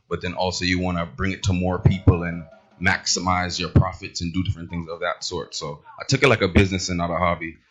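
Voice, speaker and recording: A man from Boston, a DJ, answering interview questions in a relaxed, matter-of-fact way. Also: low voice, lo-fi sound. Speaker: guest DJ.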